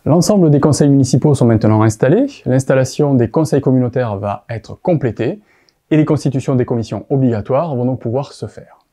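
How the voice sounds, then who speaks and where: A man's voice: mid-range voice, mellow. A man with a French accent from France presents a scripted explainer in a formal, didactic way.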